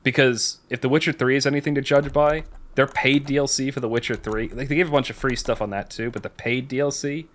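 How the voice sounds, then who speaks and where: A man's voice: mid-range voice, fairly even intonation, quick pace. A man co-hosting a podcast in casual chat, blunt and opinionated in how he talks. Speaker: podcast co-host.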